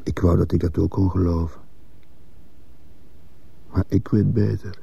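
An actor playing a brooding, guilt-ridden man in a radio drama, speaking gravely and deliberately with a gravelly, husky voice. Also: low-pitched, flat delivery, dark tone, exaggerated voice. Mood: grave, remorseful. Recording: dull lo-fi radio recording.

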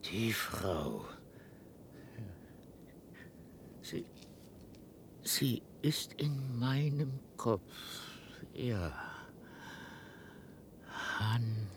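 An actor playing a confused, childlike and paranoid old father in a radio play, halting and repetitive. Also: big pitch swings, full character voice. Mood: vacant, puzzled. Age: older. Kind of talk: German radio play acting. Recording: rumbly, noisy archive radio recording.

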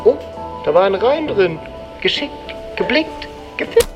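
A man's voice: sits high, very animated, measured pace, highs cut off, music in the background. An actor playing a cocky, pushy young man with slangy, chummy talk in a naturalistic radio drama. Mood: needling. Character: cocky, slangy young hustler pushing loot on his friend.

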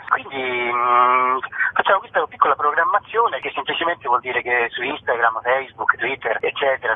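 A man with a husky voice giving a radio interview in speech, qualifying his points as he goes. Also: mid-range voice. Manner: digressive, self-qualifying. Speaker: guest, theatre company artist. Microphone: telephone. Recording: thin, narrow phone line.

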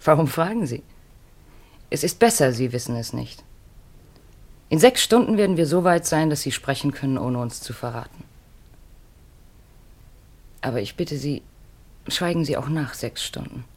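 An actress in a 1950s German radio play voicing an earnest, wistful middle-aged mother on the run, speaking in measured, pleading short phrases. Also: deep voice, animated, a little mellow. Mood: urgent, pleading. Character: Marianne, earnest, wistful fugitive mother of grown children.

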